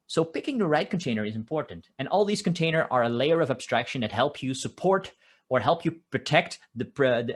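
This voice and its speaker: A man from the Netherlands with a Dutch accent, giving a conversational technical conference talk and often restarting his phrases. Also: mid-range voice. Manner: conversational, with frequent restarts and filler "uh". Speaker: conference speaker. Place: home office, construction noise outside.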